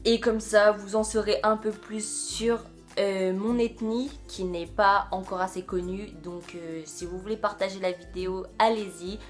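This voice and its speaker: Young French woman, casual vlogger, halting chatty delivery, faint music underneath. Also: mid-range voice, steady tone. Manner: chatty, many fillers and hesitations. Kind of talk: casual video chat.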